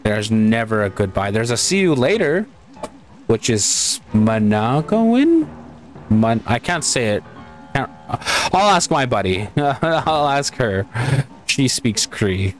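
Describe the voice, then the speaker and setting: A Canadian man with a Canadian accent giving casual, excitable let's-play commentary, swearing and laughing often. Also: mid-range voice, slow, faint music behind. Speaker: gaming channel host.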